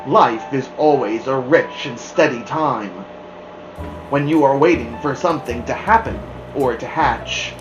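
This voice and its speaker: A woman narrating a children's story aloud as a host, in an expressive storytelling style. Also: a bass voice, measured pace, dull audio, over music. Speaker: storybook reader, podcast host. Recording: roomy, echoing room sound.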